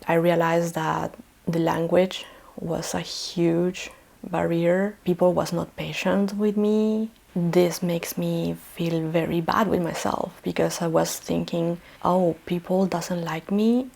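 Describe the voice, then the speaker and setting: Chilean woman vlogger, thirties, lowish flat voice with creaky fry, soft and halting in English, Spanish native. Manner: soft, hesitant, often near a whisper. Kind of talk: personal story vlog.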